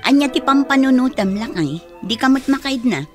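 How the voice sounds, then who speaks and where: High-voiced scheming wife. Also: female voice, expressive, moderate pace, loud music behind the voice. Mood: probing. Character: nagging, scheming wife, pushy and grasping. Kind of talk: radio drama acting.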